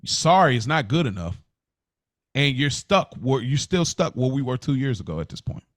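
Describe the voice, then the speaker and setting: A man's voice: medium pitch, big pitch swings, moderate pace, no echo, clean studio sound. A man co-hosting a podcast, telling personal stories casually, often repeating words and restarting his phrases. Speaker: podcast co-host. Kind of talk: casual personal storytelling in conversation.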